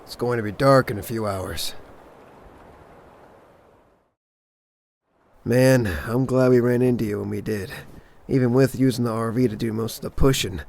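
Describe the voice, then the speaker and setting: A man's voice: mid-range voice, fairly even intonation, a little fast, gravelly, a little mellow, slight background noise, close to an everyday voice. A man playing a level-headed cop surviving a zombie apocalypse in a comic dub drama. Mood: friendly.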